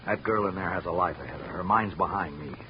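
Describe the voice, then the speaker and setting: A man's voice: sits low, fast talker, natural voice. An actor playing a defensive, middle-aged working-class watchman with plain, ungrammatical speech in an old radio detective drama. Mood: bitter, earnest. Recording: dull vintage radio recording, faint hiss.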